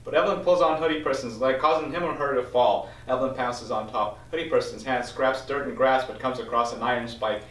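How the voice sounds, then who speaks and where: A man's voice: mid-range voice, fairly even intonation, a little fast, hardly any pauses, a bit bright. A man reading screenplay stage directions aloud as scripted narration at a table read. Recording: roomy echo, distant microphone.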